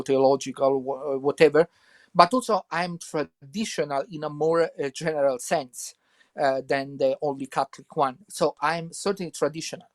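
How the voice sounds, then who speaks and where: Italian guy with a thick accent, halting answers, lots of uh and repeats, dry sound. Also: on the high side, no echo. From Italy. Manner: halting, repeats words, many fillers. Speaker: guest author. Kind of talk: interview answers.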